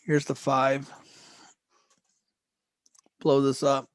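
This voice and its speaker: A man running a live trading lesson, his voice hoarse and fading as he goes. He speaks slowly, in a loose, rambling way full of restarts and throat clearing. The sound is close and dry, with no room around it. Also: mid-range voice, completely flat delivery, no room sound. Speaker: trading instructor.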